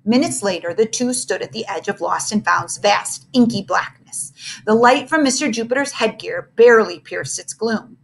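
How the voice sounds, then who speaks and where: A woman reading a children's book aloud as expressive scripted narration. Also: on the high side, normal speed, gravelly, husky, poor audio.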